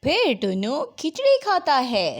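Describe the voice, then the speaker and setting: High-pitched woman narrating a children's story. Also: huge pitch swings, a little slow.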